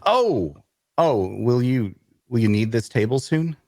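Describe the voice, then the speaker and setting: An actor playing an awkward, bookish loner adventurer who narrates an audio drama in a wry way. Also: male voice, medium pitch, very animated, measured pace, a little mellow, no echo, studio quality. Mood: awkward, polite. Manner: wry, hesitant in conversation. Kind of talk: first-person narration and dialogue in audio drama.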